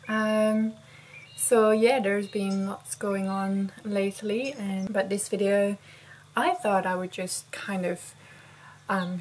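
Slow-paced young woman vlogger. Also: mid-range voice, a little hiss or hum. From Belgium. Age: young adult. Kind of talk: casual chat to camera. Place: outdoors on a house porch.

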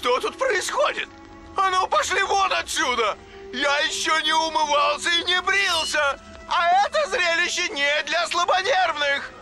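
A man's voice: lively intonation, unhurried, over music, exaggerated voice. An actor playing a vain, deranged villain's henchman in a cartoon game, whiny and boastful, with manic shrieking delivery. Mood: indignant, boastful. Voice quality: creaky, shrill. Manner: manic, boastful, swinging into shouts. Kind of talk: cartoon video game voice acting.

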